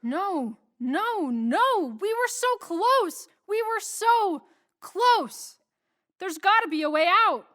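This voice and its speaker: A plucky young female ladybug from an audio cartoon, played by a woman, earnest and determined. Her voice is very high with big swings in pitch, and she draws her emphatic pleas out slowly. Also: expressive, fairly bright tone, heavily acted. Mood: desperate. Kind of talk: audio drama cartoon acting.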